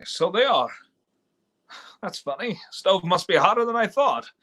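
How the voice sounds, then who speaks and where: A man's voice: high voice, expressive, measured pace, pushed and bright, full character voice. A man playing a despairing, folksy everyman who stammers, in a live reading of an old-time radio play. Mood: bewildered. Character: despairing, stammering everyman wishing he was never born.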